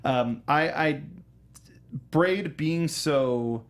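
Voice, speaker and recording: A man's voice: fairly high pitch, drawn-out words. A man hosting a casual film and game discussion, talking with a fan's enthusiasm and often restarting sentences mid-thought. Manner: enthusiastic fan talk. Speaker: host of a film review show. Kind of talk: casual panel discussion of films and games.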